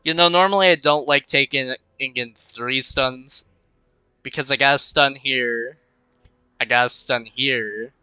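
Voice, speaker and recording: A young man in a casual gaming commentary, rambling and musing half to himself as he plays. His voice is very bright and clean, though a touch congested. The cheap desk mic sounds dry and close. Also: medium pitch, unhurried, clear, resonant tone, dry recording, low-quality recording. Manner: rambling, muttering to himself while thinking. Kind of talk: casual let's-play commentary. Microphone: cheap desk microphone.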